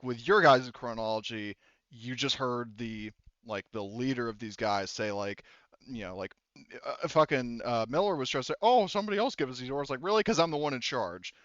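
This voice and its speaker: A man's voice: mid-range voice, fairly bright tone, clean tone, no room sound, muffled recording. A man running a tabletop roleplaying game as game master, narrating in a rambling, casual, improvised way. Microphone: close headset.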